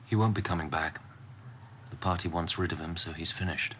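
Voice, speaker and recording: British politician, ambitious, guarded, wry, bright forceful delivery, naturalistic radio drama, faint noise. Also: male voice, low-pitched, steady tone, brisk.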